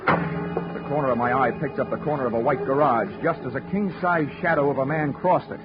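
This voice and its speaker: A man's voice: medium pitch, a little fast, lightly acted. A man playing a wisecracking hard-boiled investigator who narrates in first person, in a 1940s radio drama on a dull old broadcast recording with music. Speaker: narrator and lead detective.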